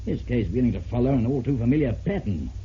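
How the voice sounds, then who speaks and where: A man acting a brisk, authoritative British police inspector in a vintage radio drama. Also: mid-range voice, animated, close to an everyday voice. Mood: dry, firm. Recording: muffled, hissy vintage radio recording.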